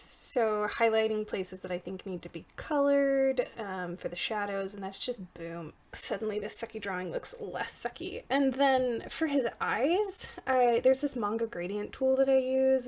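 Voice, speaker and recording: Casting: woman with a fairly high voice, informal artist's narration, self-deprecating, conversational. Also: a little mellow. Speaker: portrait artist and voice actor for a fan manga dub. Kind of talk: casual behind-the-scenes drawing commentary.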